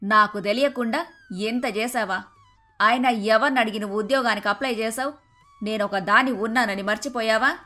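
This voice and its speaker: A woman playing a traditional, domineering and sharp-tongued older mother-in-law in a cartoon moral story. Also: on the high side, normal speed. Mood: stern, scolding. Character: bossy, traditional, scolding older mother-in-law and household matriarch. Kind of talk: dramatised moral story dialogue. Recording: music behind the voice.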